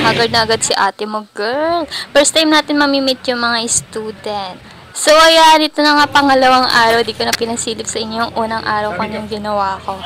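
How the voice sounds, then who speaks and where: A woman's voice: on the high side, poor audio. A woman with a Filipino accent narrates a casual vlog, chatty and playful with slangy asides. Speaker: vlogger and assistant stage director. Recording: voice-over under loud music.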